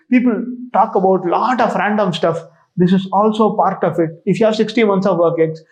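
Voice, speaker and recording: A man's voice: sits high, darkish tone, highs cut off. A man with an Indian accent giving an informal, explanatory coaching talk in an emphatic, repetitive manner, often tagging points with a checking question. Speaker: exam coach and mentor. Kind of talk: informal explanatory lecture to students.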